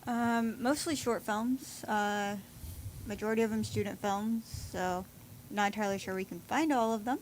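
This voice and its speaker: A woman being interviewed as a film maker, chatting casually and pausing to think as she goes. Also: medium pitch, rough recording. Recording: clear room noise behind.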